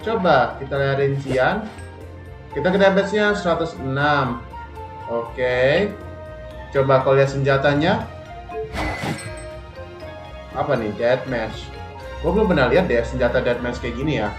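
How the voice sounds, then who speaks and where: Male game streamer, Indonesian accent: mid-pitched, slangy casual chat to viewers, echoey room, game music behind. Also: expressive, moderate pace, long pauses. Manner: informal, slangy, addressing viewers. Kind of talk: casual livestream gameplay chat. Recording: roomy stream mic over game music.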